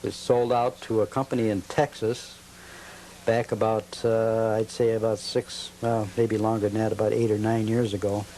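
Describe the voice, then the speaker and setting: A man's voice: lowish voice, level tone, moderate pace, warm tone, low-quality recording. A man answering interview questions about his collection in a plain, matter-of-fact way, reciting dates. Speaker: guest collector. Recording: faint noise, old cable TV audio.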